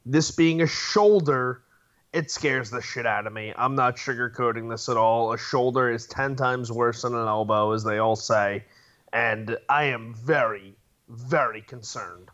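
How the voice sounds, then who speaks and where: A man's voice: mid-range voice, unhurried, a bit bright, dull audio. A man co-hosting a sports podcast, talking in an emphatic, opinionated way. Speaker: podcast co-host. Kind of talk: casual sports podcast chat.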